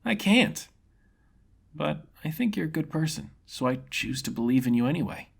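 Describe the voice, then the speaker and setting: A man's voice: a little high, lively intonation, moderate pace, gravelly, breathy edge, voice pushed up, full character voice. A man voicing a cheerful, innocent young swordsman who talks in a casual, slangy, upbeat way for a fantasy story. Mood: earnest, friendly.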